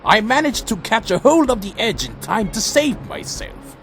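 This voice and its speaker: A man plays a defiant vampire warrior of an audio drama, gravelly and high-strung in a raised voice. He delivers his tale slowly and gravely, with theatrical weight, over music. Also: big pitch swings, a bit bright, full character voice. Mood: grave narration. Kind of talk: dramatic first-person narration and acted dialogue.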